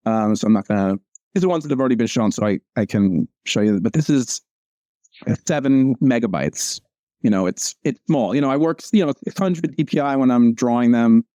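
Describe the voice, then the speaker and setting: A man talking casually about his comic strips, rambling and restarting his sentences with many fillers. His voice is mellow and relaxed, and the sound is dry and close. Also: medium pitch, a little fast, no echo. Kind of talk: casual chat over a video call.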